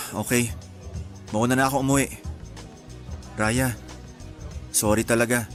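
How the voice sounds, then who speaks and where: A man's voice: medium pitch, steady tone, slow pace, faint music behind, lightly acted. Actor playing a meek, apologetic young Filipino man. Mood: resigned, sorry. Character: apologetic, lovestruck young man, meekly pleading. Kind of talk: Filipino radio drama acting.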